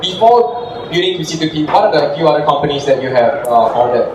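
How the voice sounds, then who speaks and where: A male interviewer with a slightly high voice hosts an informal live session in an irreverent manner. The recording is very echoey and distant, with clear background noise. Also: lively intonation, brisk. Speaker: event host and interviewer. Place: indoor live meetup event before an audience. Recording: echoey, noisy venue recorded from far off.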